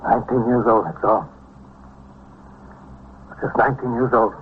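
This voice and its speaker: A man's voice: medium pitch, unhurried, natural voice. An actor with an American accent playing a middle-aged patrol sergeant and father in a vintage radio drama. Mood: stunned, grieving. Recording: tinny, noisy vintage radio recording.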